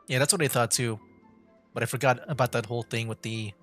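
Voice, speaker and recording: A man giving casual livestream game commentary in a rambling, halting, easygoing way. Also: medium pitch, fairly even intonation, a bit bright, soft background music. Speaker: streamer.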